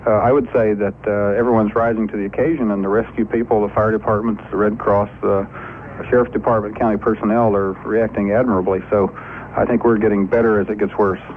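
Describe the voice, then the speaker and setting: A man with an American accent giving an interview statement as a local official, in halting, measured phrases. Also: fairly low pitch, level tone. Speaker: county supervisor, interviewee. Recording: phone-like news clip with faint noise.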